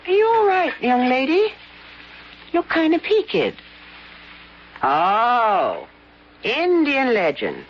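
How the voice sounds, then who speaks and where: Brisk skeptical innkeeper woman, hugely animated pitch, vintage radio play, muffled sound. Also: slight background noise, full character voice. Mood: scolding. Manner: brisk, folksy, scolding. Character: folksy, skeptical, motherly lodge hostess. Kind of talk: old-time radio drama acting.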